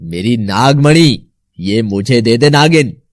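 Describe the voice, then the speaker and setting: A man's voice: mid-range voice, lively intonation, slow, dry recording. Man playing a menacing cartoon villain sorcerer, dry sound. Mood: greedy, demanding. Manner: commanding, threatening. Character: menacing, scheming male villain sorcerer and his thug. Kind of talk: Hindi cartoon villain dialogue.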